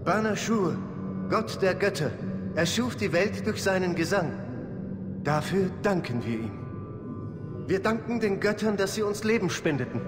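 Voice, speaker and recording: An actor playing a serene, solemn monk in a video game, teaching in a measured, ceremonial manner. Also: male voice, sits high, expressive. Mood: solemn, reverent. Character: solemn, serene male monk guiding a pilgrim. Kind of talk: reverent video game narration in German dub. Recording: echoey temple hall, distant, loud music.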